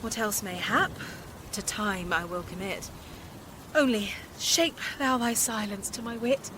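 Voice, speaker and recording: An actress playing an earnest, quick-witted young shipwrecked noblewoman in a Shakespearean radio drama. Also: medium pitch, lightly acted. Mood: earnest resolve. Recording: noisy outdoor ambience behind voice.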